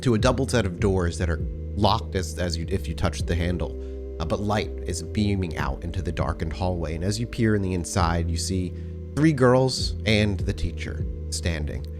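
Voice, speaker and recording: A man narrating a tabletop role-playing game as storyteller, descriptive and deliberate, feeling his way through the scene. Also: low-pitched. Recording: music behind the voice. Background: music bed.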